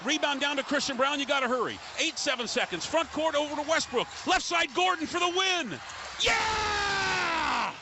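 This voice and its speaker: Over loud arena noise, a man delivers urgent basketball play-by-play. His voice runs extremely high as he calls the live action. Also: animated, normal speed. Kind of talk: urgent live sports commentary. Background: basketball arena noise, bouncing ball.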